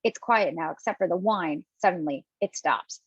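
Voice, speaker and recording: A woman's voice: lowish voice, normal speed, breathy edge, no room sound. A woman reading stage directions in scripted narration at a table read, now and then stumbling over words. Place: remote online table read. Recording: dull, dry lo-fi call audio.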